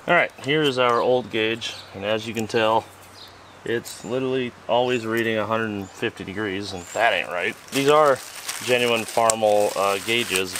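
A man's voice: lowish voice, background noise. A man hosting a vlog, chatting casually and joking as he works on an old tractor outdoors, sometimes muttering to himself. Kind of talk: casual how-to narration while working. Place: outdoors on a farm.

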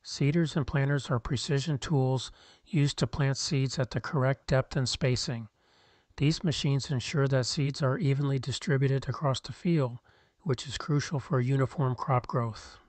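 A man's voice: mid-range voice, fairly even intonation, normal speed, a little mellow, no echo. Man narrating in a dry voice-over. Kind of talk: scripted countdown narration. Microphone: voice-over, close mic.

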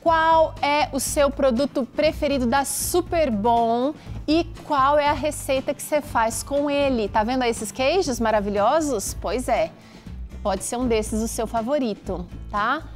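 A Brazilian woman in her thirties presents a cookery programme in a high voice. She is chatty and enthusiastic, selling things casually and straight to the viewer. Faint music plays behind her. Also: a little slow. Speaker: TV cooking show host. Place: TV kitchen studio.

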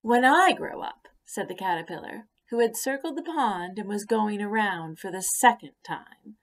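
A woman narrating a children's picture book aloud in an expressive, deliberate way. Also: medium pitch. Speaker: children's story reader. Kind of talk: read-aloud storybook narration.

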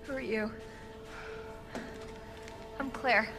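A woman's voice: a little high, steady tone, drawn-out words, vocal fry, heavily acted. An actress playing a determined, protective young woman in a video game, speaking with urgent, insistent emotion. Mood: urgent, confused. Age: young adult. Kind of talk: video game cutscene acting. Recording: dramatic music behind the voice. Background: game soundtrack music.

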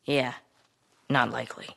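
Defiant, bright-voiced teenage boy. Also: medium pitch, gravelly, heavily acted. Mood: guarded. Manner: cocky, curt, guarded. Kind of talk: video game cutscene acting.

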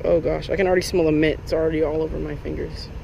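Young male fishing vlogger, high-pitched and excitable, action-cam audio with outdoor noise. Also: rapid-fire, vocal fry, poor audio. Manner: chatty, excitable, lots of "like" and "oh my gosh". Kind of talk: casual running commentary while fishing. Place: outdoors on a lake bank in Florida. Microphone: head-mounted action camera.

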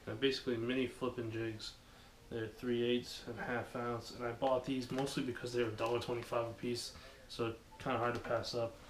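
A man's voice: medium pitch, normal speed, few pauses. A young man hosting a fishing channel, talking casually with lots of fillers as he unboxes gear in a small dim living room, recorded by a camera across the room. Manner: relaxed, rambling with many fillers. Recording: echoey room with background noise.

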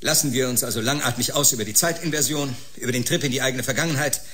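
An actor playing a cynical, combative scientist in a sci-fi radio drama, sarcastic and dismissive. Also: male voice, medium pitch, quick pace, a bit bright, a little hiss or hum, natural voice. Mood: scornful. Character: cynical, combative male scientist, sneering and callous.

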